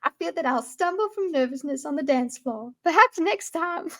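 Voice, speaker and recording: A woman's voice: high-pitched, big pitch swings, normal speed, pitched above the performer's own voice. A woman playing a polite, nervous young noblewoman at her first ball in a read-aloud fantasy novel. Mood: theatrical, demure. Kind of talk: acted reading of a fantasy novel.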